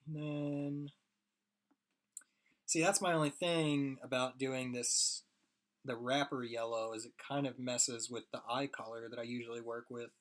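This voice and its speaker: A hoarse young man rambling casually to his viewers while he draws on a live stream. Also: medium pitch, level tone, unhurried. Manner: rambling, chatty, talks to chat viewers. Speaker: streaming artist.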